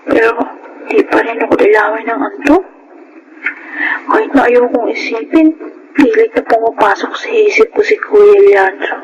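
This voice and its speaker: An actress playing a sweet, conflicted young office worker with a Filipino accent in a radio drama. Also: female voice, fairly high pitch, very animated, moderate pace, lo-fi sound. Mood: torn, lovestruck. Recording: noisy radio drama recording.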